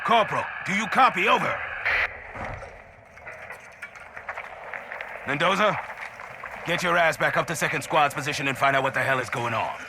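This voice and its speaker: Man acting a gruff, bossy marine sergeant, noisy backdrop. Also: on the high side, quick pace, lightly acted. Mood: stern, impatient. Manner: barking, commanding. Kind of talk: video game cutscene acting.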